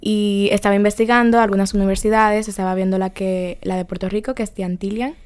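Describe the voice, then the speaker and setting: A woman's voice: mid-range voice, fairly even intonation, fast talker, darkish tone, clean tone. Young Dominican woman telling her story. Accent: Dominican Spanish. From Dominican Republic. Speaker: student guest. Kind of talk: radio interview. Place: radio studio.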